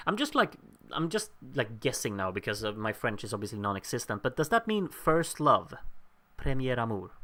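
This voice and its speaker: A man's voice: medium pitch, a bit bright. A man hosting a live stream, casually commenting on voting results and reading out viewer names with wry jokes, his voice a bit worn. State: losing his voice. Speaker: livestream host.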